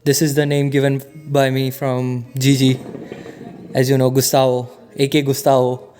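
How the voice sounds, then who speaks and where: A man's voice: mid-range voice, completely flat delivery, normal speed, a little mellow, faint music behind. A young man from western India, chatting casually on a student podcast and often saying "to be honest.". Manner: informal, repeats "to be honest". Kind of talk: casual chat.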